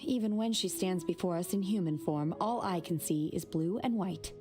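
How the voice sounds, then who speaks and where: Actress as calm, earnest young disciple over music. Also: female voice, fairly low pitch, close to an everyday voice. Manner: matter-of-fact, measured. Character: calm, earnest, literal-minded young female adept's disciple. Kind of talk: video game voice acting. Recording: music playing behind the voice.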